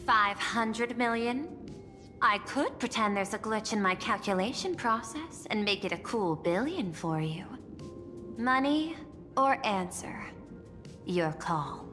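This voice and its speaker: Cool machine-minded CEO, video game, medium pitch, precise, formal, detached, lingering reverb. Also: female voice, lively intonation, slow. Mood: coolly bargaining. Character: composed, calculating female machine-minded corporate chief executive. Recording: long reverb, faint music behind.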